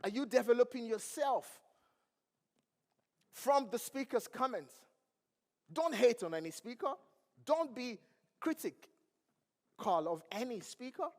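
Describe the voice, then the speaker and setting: Nigerian-accented male motivational speaker, high voice, crowd questions, ringing hall reverb. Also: expressive, unhurried, long pauses, darkish tone. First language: not English. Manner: preachy, asks the crowd questions. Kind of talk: motivational stage speech. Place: large event hall.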